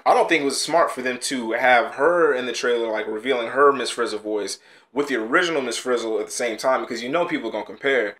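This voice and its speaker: A man's voice: mid-range voice, a bit bright. A young man hosting a casual reaction video, blunt and exasperated, with frequent sighs and groans, recorded in a small room at home. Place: small bedroom studio indoors.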